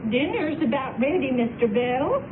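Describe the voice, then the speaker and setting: An actress playing a plain-spoken housekeeper in a radio drama, with a halting delivery. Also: female voice, sits high, big pitch swings. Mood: shocked, halting. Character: plain-spoken housekeeper woman serving dinner. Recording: tinny, noisy old radio recording.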